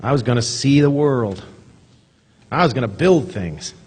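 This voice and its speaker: A man playing an earnest, excitable small-town family man in an old-time radio drama, stammering and repeating his words with a husky voice. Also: medium pitch, moderate pace. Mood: despondent, regretful. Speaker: actor in a live radio drama. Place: theater stage, live performance. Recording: reverberant theater stage recording.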